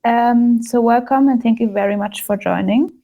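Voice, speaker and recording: A woman hosting a podcast, reading a steady, slightly halting introduction to her guest. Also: on the high side. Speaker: podcast host.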